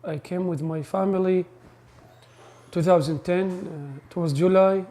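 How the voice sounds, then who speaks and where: A man's voice: high voice, fairly even intonation, measured pace, dark tone. A man from Iraq, a grocery store co-owner speaking hesitantly in a documentary interview. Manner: hesitant, frequent "uh" fillers.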